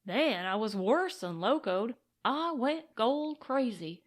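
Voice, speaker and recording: Woman reading as an excited little cowboy prospector, unhurried. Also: a little high. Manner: folksy Old West cowboy talk, boastful. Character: excited, grateful, big-hearted little cowboy gold prospector, male. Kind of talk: audiobook western character.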